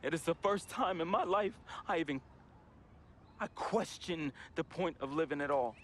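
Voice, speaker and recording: A man's voice: a little high, moderate pace, slight background noise, lightly acted. An actor playing a troubled young man in a game, reading a confessional farewell letter as a heartfelt voice-over with casual slang. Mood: somber. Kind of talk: emotional voice-over monologue. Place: studio voice-over.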